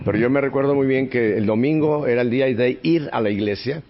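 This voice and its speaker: A man in his seventies from Mexico City, a podcast guest telling stories about his childhood in a relaxed, chatty way with easy laughter. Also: medium pitch, a little fast. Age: 70s. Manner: chatty, warm reminiscing with frequent laughs. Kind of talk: relaxed storytelling in conversation. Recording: slightly muffled podcast audio.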